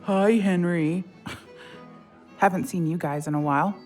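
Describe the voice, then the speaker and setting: A brash, needling woman, with a lowish voice. She is the blunt best friend, wry and teasing in how she talks. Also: takes it slowly, a little mellow, soft background music. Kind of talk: audio drama acting.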